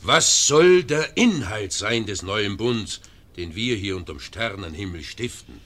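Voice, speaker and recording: A man's voice: on the low side, expressive, measured pace, fairly bright tone, full character voice. An actor playing a male confederate in a classic German radio play, asking probing questions.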